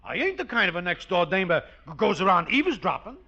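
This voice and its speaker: An actor playing a sarcastic, conniving working-class neighbor in a 1940s radio sitcom, talking in slangy broken grammar. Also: male voice, sits high, huge pitch swings, fast, gravelly, sharp tone, exaggerated voice. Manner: sarcastic, ungrammatical. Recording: muffled 1940s radio recording, faint noise.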